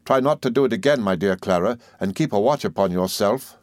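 A male narrator plays a stern Victorian stepfather from a storybook. He speaks formally and gravely, with measured, commanding weight, in a dry, close sound. Every phrase is cold and domineering. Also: lowish voice, lively intonation, a little mellow, dry recording, natural voice. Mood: cold, reproving. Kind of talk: audiobook character in a Victorian novel.

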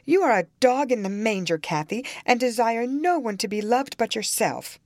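Impassioned young girl voiced by a woman narrator, infatuated and easily wounded, very animated, dry audiobook sound. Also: mid-range voice, unhurried, no room sound, pitched above the performer's own voice. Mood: petulant. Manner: impassioned, indignant. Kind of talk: dramatised audiobook reading of a classic novel.

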